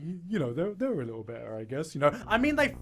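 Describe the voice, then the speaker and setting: A man giving scripted comedic commentary in an animated, sweary manner with sarcastic exaggeration. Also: fairly high pitch, fast talker, crowd noise. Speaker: video essayist.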